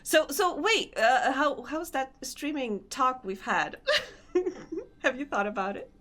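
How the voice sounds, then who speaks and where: A young woman with a Polish accent chats with her viewers while gaming, laughing often as she answers comments. Her voice sits a little high and swings widely in pitch. She talks in a loose, casual way. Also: animated, takes it slowly, fairly bright tone. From Poland. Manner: chatty, laughs often, reads and answers chat. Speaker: streamer. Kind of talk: casual gaming stream chat.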